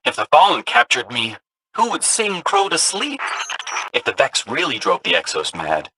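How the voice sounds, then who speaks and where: Fretful little robot, wildly animated. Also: male voice, mid-range voice, moderate pace, noisy background. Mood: fretful, dramatic. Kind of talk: video game dialogue.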